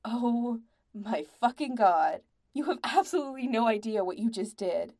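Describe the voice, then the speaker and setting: A woman's voice: mid-range voice, expressive, a little slow, warm tone, close to an everyday voice. A woman voicing a furious, exasperated young male band member who snarls and swears emphatically in a story.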